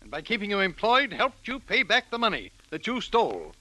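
A man's voice: high-pitched, wildly swooping pitch, fairly quick, pushed and bright, dry recording, exaggerated voice, rough recording. An actor playing a stern, condescending, wealthy older man, formal and righteous, in 1940s radio drama style. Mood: indignant.

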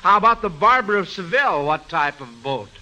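A man hosts an old-time radio quiz in a folksy, avuncular manner. His voice sits high and has a very bright, forward edge. He talks conversationally over a faintly noisy vintage broadcast. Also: expressive, a little fast. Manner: folksy, chuckling, repeats answers, avuncular toward children. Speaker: radio quiz show host.

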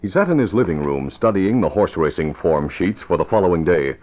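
A man's voice: sits low, very animated, brisk. A man giving dramatic scripted narration for a vintage radio crime serial. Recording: muffled, noisy vintage radio recording.

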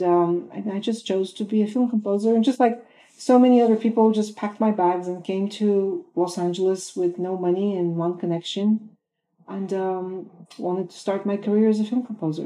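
A woman from Bulgaria in her fifties talks through her career in an interview. Her voice is very dark and mellow, and she pauses often with ums and uhs as she gathers her thoughts. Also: mid-range voice, low-quality recording. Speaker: film composer guest. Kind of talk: podcast interview answers.

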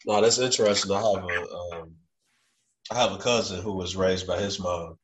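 A man with a very low voice conducts a casual interview. He talks conversationally and haltingly, padding his thoughts with frequent fillers.

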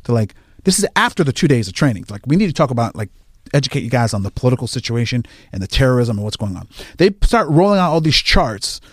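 A man's voice: medium pitch, fast, slightly rough, dry recording, clean studio sound. A man, a firefighter, telling stories in casual conversation and acting out what people said. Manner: animated, acts out quoted lines. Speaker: firefighter guest.

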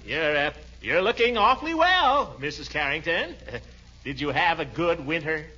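An actor playing a pompous, genial middle-aged American uncle who blusters and hems in 1940s radio comedy style. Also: male voice, a little high, very animated, normal speed, pushed and bright, exaggerated voice. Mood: sociable, courtly. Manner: blustery, hemming, exclaiming. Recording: muffled, noisy old radio recording.

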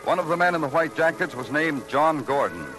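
An American actor playing a curt, world-weary police detective in an old radio noir drama, with terse, deadpan delivery. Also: male voice, on the high side, fairly quick, over music, natural voice, poor audio. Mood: brooding, grim. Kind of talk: 1940s-50s radio noir acting and narration. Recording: old radio broadcast recording.

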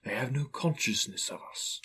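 A man voicing a gentle, solemn ghost in a storybook reading, speaking softly and gravely with measured, mild authority. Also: medium pitch, expressive. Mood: solemn, sorrowful.

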